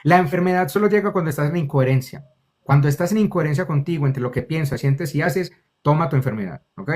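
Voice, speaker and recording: A man's voice: fairly high pitch, fast talker, darkish tone. A man with a Colombian accent, a spiritual guide explaining ideas in conversation and often checking in with "ok?" and calling the listener lovely. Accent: Colombian Spanish. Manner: affectionate, keeps checking in with "ok?". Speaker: spiritual guide, interview guest.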